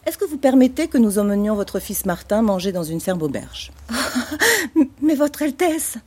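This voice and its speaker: Breathy, high-voiced polite lady. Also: very animated, moderate pace, a little mellow. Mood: gracious. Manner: courteous, apologetic. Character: polite, fluttery women: village mother and gracious queen. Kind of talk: radio play dialogue.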